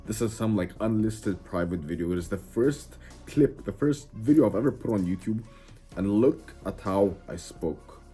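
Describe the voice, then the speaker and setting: Husky low-voiced man, emphatic and brash. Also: lively intonation, moderate pace, mellow, faint music behind. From Egypt. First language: Arabic. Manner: emphatic, brash, keeps saying "bro". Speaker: self-improvement YouTuber. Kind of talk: casual direct-to-camera talk.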